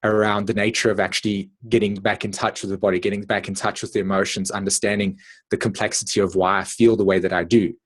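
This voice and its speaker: A man with a South African accent giving an informal, reflective talk in an online meeting, haltingly repeating words and checking in with his listeners. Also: lowish voice, level tone, slightly rough. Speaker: astrologer presenting at an online meeting. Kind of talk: informal spoken lecture with personal reflections.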